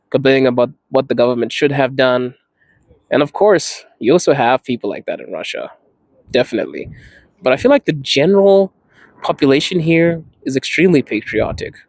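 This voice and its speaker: A man's voice: mid-range voice, no room sound. Candid Zimbabwean-born man, dry close sound. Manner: candid, laughs often, drawn-out emphasis. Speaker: podcast host. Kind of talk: casual personal vlog talk.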